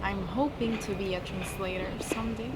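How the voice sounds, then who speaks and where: A woman's voice: medium pitch, steady tone, normal speed. A twenty-one-year-old woman, a college student co-hosting a casual Q&A and sometimes searching for English words. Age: early 20s. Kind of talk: casual Q&A chat. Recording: noisy background hiss.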